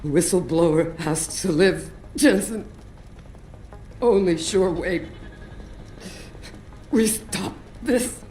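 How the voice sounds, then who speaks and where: A wounded game operative, tough and wry, talking slowly in a dark, soft tone. She sounds weak and in pain but determined, in an echoey space. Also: fairly low pitch. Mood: pained urgency. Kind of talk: video game dramatic acting. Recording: echoey space with faint music.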